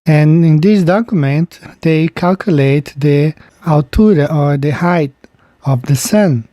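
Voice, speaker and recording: Slow-talking man with mellow voice. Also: fairly high pitch, no echo, dull audio. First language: Portuguese. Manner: slow, meandering, pointing things out on screen. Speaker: channel host. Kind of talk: casual screen-share explainer.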